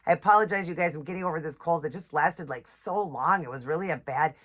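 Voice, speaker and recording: A woman with a low, stuffy voice, still getting over a cold. She chats casually to her viewers. She reads devotional lines at an unhurried pace. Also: fairly bright tone. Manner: chatty, reads scripture slowly, apologizes often. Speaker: nail and devotional vlogger. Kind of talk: casual chat with devotional reading. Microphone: ring light setup, camera close.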